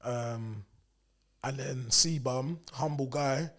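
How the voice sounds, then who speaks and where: A young man, a personal trainer co-hosting a podcast, talking in a slangy, animated way and often repeating himself. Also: medium pitch, extremely slow. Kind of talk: casual chat.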